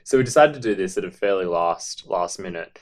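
A man's voice: deep voice, expressive, a little fast. A man hosting a casual book podcast, playful and rambling, with a lot of fillers and jokes. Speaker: podcast host.

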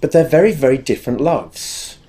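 A man's voice: mid-range voice, animated, a little fast, dark tone. An English man with a big, carrying voice, giving a chatty, self-deprecating talk to a live audience and addressing the room directly.

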